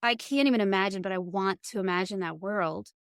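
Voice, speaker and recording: A woman's voice: medium pitch, brisk, clear, resonant tone, no echo, studio quality. A woman hosting a podcast in casual, reflective conversation, thoughtfully choosing her words. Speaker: podcast host.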